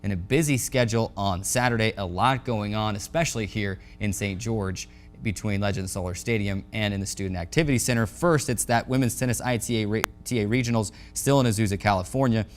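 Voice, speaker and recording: A man hosting a sports show, speaking in a somewhat halting way with repeated words. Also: fairly low pitch, faint music behind. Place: studio set.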